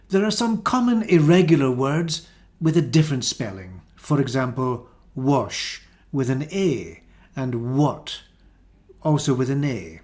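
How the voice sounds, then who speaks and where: A Scottish man leads an English pronunciation lesson at a very slow, deliberate pace. He draws out each example word so learners can copy it, and his voice sits at a middle pitch. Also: darkish tone. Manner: slow, careful, drawn-out model words. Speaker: English teacher.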